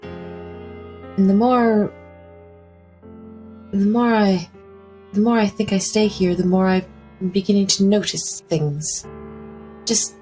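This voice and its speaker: A woman playing a watchful, uneasy lady investigator in a tabletop mystery, halting and brooding as she speaks. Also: medium pitch, fairly even intonation, dark tone, soft background music, close to an everyday voice. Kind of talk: improvised tabletop roleplay.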